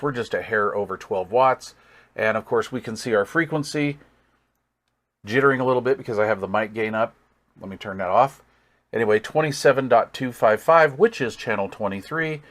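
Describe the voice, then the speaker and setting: A man giving a casual hands-on product demonstration as a hobbyist reviewer, thinking aloud with folksy, easygoing enthusiasm. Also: on the low side. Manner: thinks aloud while working.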